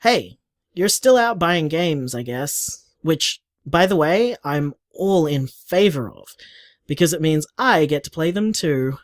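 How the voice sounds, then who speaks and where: A man's voice: on the high side, animated, slow pace, no echo. A man playing an affectionate, playful young bar owner, chatting casually as if leaving voicemails in an audio drama. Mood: casual, upbeat.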